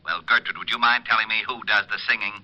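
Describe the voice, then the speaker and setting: A man's voice: fairly low pitch, steady tone, fast, dry recording. An actor playing an exasperated, sputtering man calling on the phone, heard through a thin phone line.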